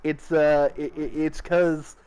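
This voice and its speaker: A man's voice: on the high side, flat delivery, takes it slowly, mellow, clear, resonant tone. A man hosting a casual nerdy podcast chat, rambling, swearing and laughing often. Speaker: streamer and podcast host.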